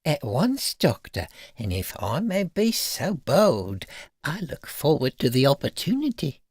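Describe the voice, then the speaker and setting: A man voicing a coquettish female nurse in a farcical radio story, coy and flirtatious with drawn-out delivery. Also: on the high side, huge pitch swings, darkish tone, breathy edge, no echo, studio quality, heavily acted.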